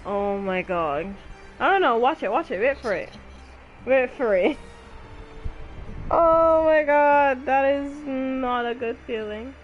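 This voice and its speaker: A woman's voice: sits high, lively intonation, slow pace, long pauses, dull audio, soft background music. A woman streaming a video game, commenting casually in slang and exclaiming as she reacts aloud. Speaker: gaming streamer.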